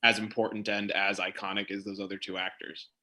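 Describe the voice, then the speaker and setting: Bright, forceful low-pitched man. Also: little pitch movement, fairly quick. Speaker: panelist. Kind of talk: casual film commentary chat.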